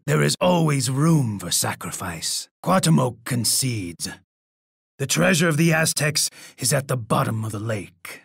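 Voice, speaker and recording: An actor playing a menacing, boastful, bloodthirsty Aztec emperor in a video game, with a gravelly, husky voice and an imperious, taunting manner. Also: male voice, medium pitch, dry recording, studio quality, exaggerated voice. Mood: boastful threat, then grave defeat. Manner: imperious, taunting, refers to himself in third person. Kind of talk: theatrical video game character voice lines.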